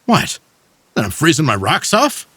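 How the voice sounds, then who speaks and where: An actor playing an excitable, irreverent male fugitive sidekick in a fantasy video game, with expressive, colloquial delivery. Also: a little high, measured pace, breathy edge, no echo, full character voice. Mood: sardonic, incredulous. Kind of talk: dramatic video game voice acting.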